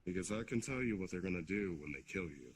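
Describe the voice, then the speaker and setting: A man improvising in roleplay as a commanding, hospitable demon king, speaking in a deliberate, formal way. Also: low-pitched, expressive. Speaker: roleplayer leading an improvised scene. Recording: noticeable background noise on mic.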